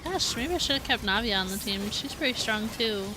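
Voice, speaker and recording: A woman's voice: on the high side, lively intonation, fairly quick, over music, rough recording. A woman game streamer chatting casually, musing aloud and indecisively to her viewers. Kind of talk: casual livestream chat.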